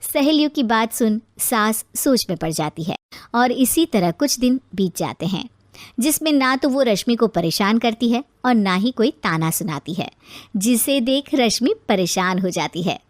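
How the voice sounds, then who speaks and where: A woman narrates a scripted moral tale as its storyteller. Her voice is high, and the recording is dry and close. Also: expressive, moderate pace, dry recording.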